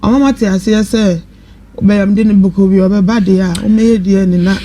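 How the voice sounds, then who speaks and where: A woman's voice: mid-range voice, moderate pace, dark tone. A woman with a Ghanaian accent giving a spontaneous personal account as a guest on a live radio discussion. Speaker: guest telling her personal story. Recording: noisy live radio studio sound.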